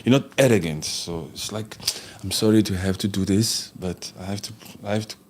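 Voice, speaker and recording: A man from Congo hosts a loose live-stream conversation with a fairly low voice. He talks bluntly, cracks jokes and swears offhand, sounding like a regular host chatting with viewers. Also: fairly even intonation, brisk, slight background noise. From Democratic Republic of Congo. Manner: blunt, joking, swears casually. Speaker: podcast host. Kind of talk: casual live-stream chat.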